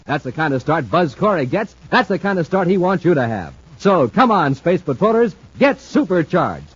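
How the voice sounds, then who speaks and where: A man's voice: on the high side, sing-song, normal speed, slightly rough. A man announcing a 1950s radio serial with scripted, dramatic and emphatic delivery. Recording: muffled old-time radio recording.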